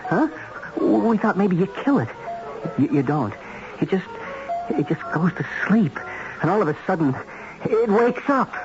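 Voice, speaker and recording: An actor playing a guilt-ridden, desperate hitman who drinks, speaking in halting, repeated words in a radio drama. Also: sits high, sing-song, music in the background, heavily acted. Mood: anguished, desperate. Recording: dull, noisy old radio broadcast recording.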